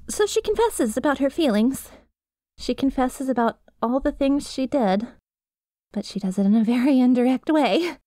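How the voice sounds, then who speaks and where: A woman's voice: a little high, a little mellow, dry recording, clean studio sound. A woman playing an obsessive, lovesick young best friend in an intimate ASMR roleplay, sweet and nervous with menace underneath. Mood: nervous, confessing. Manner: sweet, nervous, pleading, with giggles and emotional swings.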